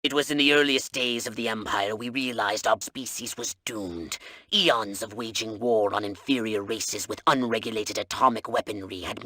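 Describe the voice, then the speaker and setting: A man's voice: lowish voice, pushed and bright, no echo. A man playing a pompous, scheming elderly alien commander in a video game, speaking in a theatrical, grandly enunciated manner.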